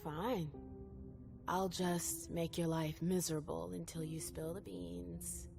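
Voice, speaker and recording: Gravelly, stubborn young-blood vampire. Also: female voice, lowish voice, level tone, slow. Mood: skeptical, teasing. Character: stubborn, wounded female vampire, probing her sire. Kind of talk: video game cutscene dialogue acting. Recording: game music underneath. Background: background music.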